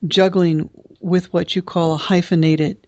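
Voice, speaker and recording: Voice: mid-range voice, little pitch movement, a little slow, mellow, dry recording, highs cut off. American show host asking questions. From United States. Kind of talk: interview questions.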